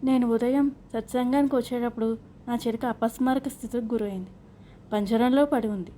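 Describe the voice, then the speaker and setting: An earnest, simple parrot owner from a children's storybook, played by a woman explains himself respectfully. The voice is mellow and fairly high, with faint noise behind it. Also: steady tone, a little fast, close to an everyday voice. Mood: dejected.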